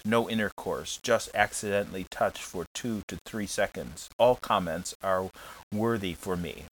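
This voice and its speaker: A man's voice: lowish voice, a bit bright, low-quality recording. A man hosting an advice podcast, reading listener letters aloud and answering them in a blunt, jokey, frank way.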